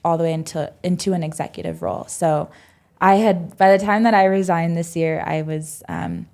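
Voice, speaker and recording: A young woman in her twenties talks through her story as a podcast guest. Her voice sits a little low, and she speaks in a loose, reflective way, full of "um"s and "like"s. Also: fairly even intonation, normal speed, vocal fry, darkish tone. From United States. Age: 20s. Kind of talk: casual podcast interview.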